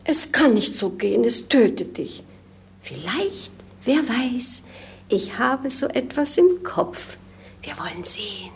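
A woman's voice: fairly high pitch, very animated, takes it slowly, a little hiss or hum, exaggerated voice. An actress playing a tender, worried governess to a princess in a 1950s German radio play, motherly and lamenting. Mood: distressed, tender.

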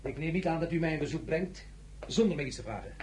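A man's voice: fairly high pitch, expressive, fast, darkish tone, slight background noise, close to an everyday voice. Napoleon, swooping and grandiose played by a man. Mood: cool, probing. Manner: grandiloquent, teasing, theatrical. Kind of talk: Dutch radio drama acting.

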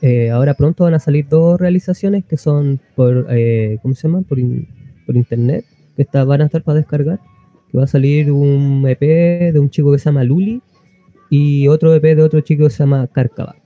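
A Chilean man with a fairly high-pitched voice, speaking as a guest in a casual interview. He hesitates and searches for words. The recording is dry but narrow and tinny, like a phone line. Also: a little fast, dry recording, soft background music. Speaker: interview guest from an independent music label. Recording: thin phone-like band-limited sound.

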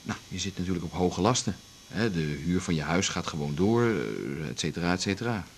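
A composed, persuasive man in a radio play. His voice is fairly low and measured, with faint ambient noise in the background. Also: lively intonation, creaky. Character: composed, persuasive man pressing a private deal. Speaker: actor in a radio drama. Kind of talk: radio drama acting.

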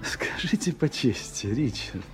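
A man's voice: medium pitch, lively intonation, unhurried. An actor playing an aloof, sceptical male scientist with a dry, precise, detached manner in a radio drama. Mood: probing. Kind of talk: radio play dialogue. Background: music.

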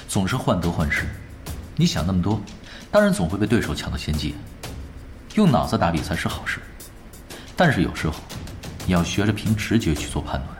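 An actor playing an unruffled, blunt male esports veteran who lectures drily and with composure in a radio drama. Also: low-pitched. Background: background music.

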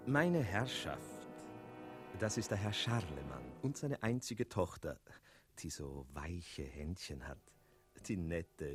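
An actor voicing a sly, chatty tomcat who narrates a 1960s radio play in a playful, theatrical way. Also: male voice, on the low side. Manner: playful, theatrical, digressive, punctuated by meows. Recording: 1960s radio studio recording with music.

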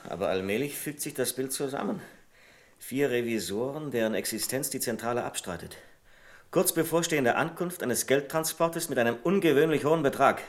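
An actor playing a proper, principled bank clerk in a radio play, speaking in a formal, precise and argumentative way. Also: male voice, medium pitch, lightly acted. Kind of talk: German radio play acting.

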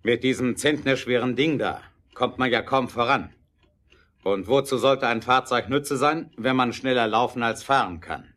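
Male actor playing driven inventor, middle-pitched, earnest, brooding, emphatic, deliberate, radio play. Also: a bit bright, close to an everyday voice. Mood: resolute, impatient. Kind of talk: German audio drama acting.

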